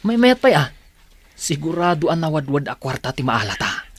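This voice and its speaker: An actor playing a sly, scheming petty thief in an Ilocano radio drama. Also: male voice, fairly high pitch, sing-song, moderate pace, husky. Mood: eager, sly. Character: scheming petty thief who preys on churchgoers.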